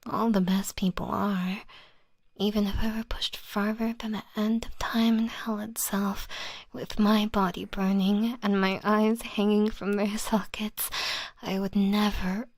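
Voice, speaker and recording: A young woman whispering an ASMR roleplay as an obsessive, possessive lover, cooing endearments softly. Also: mid-range voice, flat delivery, a little slow, no echo. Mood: unhinged devotion. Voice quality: soft whisper.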